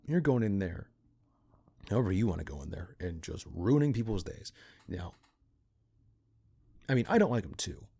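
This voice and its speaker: A man playing a cynical, pragmatic partner in crime to a vigilante in an ASMR roleplay, talking in a casual, halting, conspiratorial way. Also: low-pitched, sing-song, gravelly, dark tone, slightly rough, heavily acted. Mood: wry, cautioning. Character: cynical, pragmatic male criminal accomplice to a vigilante.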